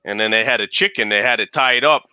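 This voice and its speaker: A man's voice: medium pitch, quick pace, sharp tone, clear, resonant tone, no echo. A man in his mid-thirties bantering and telling stories casually, blunt and full of swearing. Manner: profane, blunt.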